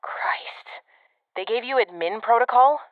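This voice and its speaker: An actress playing a cynical, impatient veteran government agent in an audio drama, clipped and commanding, heard through a radio communicator. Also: female voice, on the high side, unhurried, no echo, narrow, tinny audio. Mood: irritated, brisk. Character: brusque, cynical, foul-mouthed government field agent woman, impatient veteran. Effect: radio communicator filter. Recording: band-limited radio link, music underneath.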